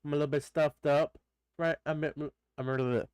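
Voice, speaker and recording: Streamer, male, congested nose, smooth clean voice, bone-dry close mic, rambling friendly chat. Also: on the high side, little pitch movement, fast talker, a little mellow, no echo. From Texas. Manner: rambling, greets chatters by name. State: stuffed-up nose. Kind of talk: casual live-stream chat.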